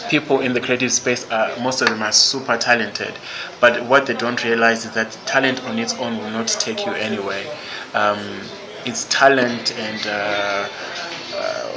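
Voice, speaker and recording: A man's voice: medium pitch, rough recording. A man from Zimbabwe with a Zimbabwean English accent, a podcast guest speaking candidly and bluntly, with many fillers and restarts. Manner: blunt, outspoken. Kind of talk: candid interview conversation.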